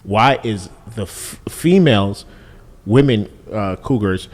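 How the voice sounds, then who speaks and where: Low-voiced man rambling in a reverberant room. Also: slow pace. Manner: rambling, repeats words, fillers, animated when asking questions. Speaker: podcast co-host. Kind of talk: casual chat.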